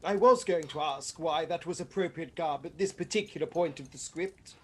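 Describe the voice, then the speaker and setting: A woman's voice: low-pitched, moderate pace, a little mellow, slightly rough. A woman playing a long-suffering detective's companion in a comic radio play, with a dry, understated delivery. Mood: dry, concerned.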